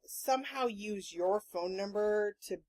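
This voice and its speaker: A woman's voice: mid-range voice, unhurried. Casual, sweary woman podcaster. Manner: animated, sweary, rambling storytelling. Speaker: podcast host. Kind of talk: casual chat.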